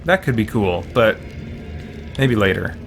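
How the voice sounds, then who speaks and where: A man's voice: on the low side, animated, unhurried, creaky, faint music behind. A man streaming a role-playing game, giving casual commentary and muttering his thoughts as he goes. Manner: thinking aloud, muttering to himself.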